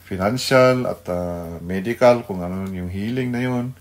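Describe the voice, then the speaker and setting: A male Filipino pastor hosting a devotional radio program, speaking haltingly and searching for words. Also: low voice. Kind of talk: devotional talk mixing Tagalog and English.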